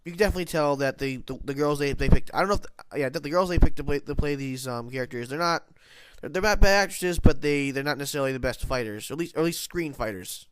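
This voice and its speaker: A man talks through his TV episode review in a casual, rambling way, stopping often to restart. His voice is nasal and stuffed up from hay fever, yet it stays smooth and clear. The sound is dry and close, with no room echo. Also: a little high, fast talker, few pauses, fairly bright tone. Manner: rambling, many restarts and self-corrections.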